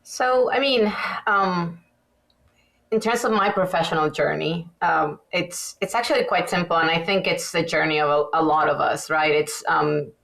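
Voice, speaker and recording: A woman's voice: on the low side, moderate pace, creaky. A woman from Venezuela, a guest dentist, talking casually in a podcast interview with lots of fillers and restarts. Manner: chatty, many fillers and restarts, animated.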